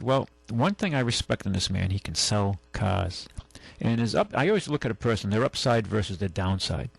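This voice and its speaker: Gravelly radio host telling rambling stories. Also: male voice, medium pitch, no room sound. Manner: rambling anecdotes with many restarts and acted-out quotes. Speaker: radio show host and car dealer. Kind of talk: casual on-air storytelling. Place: radio studio.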